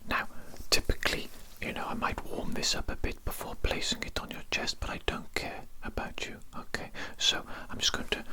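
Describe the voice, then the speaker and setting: A man voicing a calmly menacing, callous evil doctor in an ASMR roleplay, whispering slowly and deliberately with soft tag questions. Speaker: ASMR performer.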